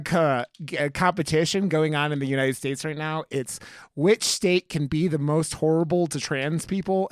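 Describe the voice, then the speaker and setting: Teasing podcast host, mid-pitched voice. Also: no room sound, clean studio sound. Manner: teasing, profane joking. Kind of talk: casual banter on a film review podcast.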